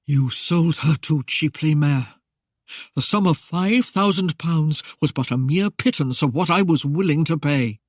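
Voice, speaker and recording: A man's voice: on the high side, measured pace, no room sound, studio quality. A man voicing an icy, curt nobleman. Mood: cold. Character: cold, curt, aloof nobleman. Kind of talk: audiobook character voice.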